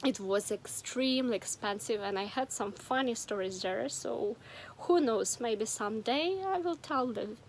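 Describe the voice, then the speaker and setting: Young woman from Ukraine, vlogger, chatty storyteller with fillers, slightly high voice. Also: unhurried, a little hiss or hum, low-quality recording. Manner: chatty, with frequent fillers and restarts. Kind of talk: casual personal storytelling.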